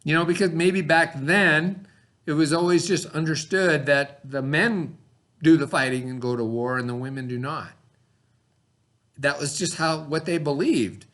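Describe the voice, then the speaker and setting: A man with a fairly high-pitched voice reads aloud as a schoolteacher would. His phrasing is expressive and instructive, suited to questioning pupils and explaining things simply. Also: measured pace. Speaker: teacher reading aloud to pupils.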